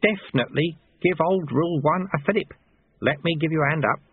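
Man acting a high-pitched, sly old monk. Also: a little fast, slightly rough, dry recording, narrow, tinny audio. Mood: smug, cheerful. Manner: colloquial, cheeky, wheedling. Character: sly, cheerful old male monk, a teasing martial-arts master.

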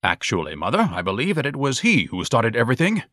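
A man's voice: mid-range voice, huge pitch swings, fast talker, a bit bright, no echo, studio quality, pitched above the performer's own voice. Man doing a pompous, haughty overgrown mama's boy. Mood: self-important. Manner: pompous, condescending, florid. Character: pompous, huge thirty-year-old mama's boy, haughty.

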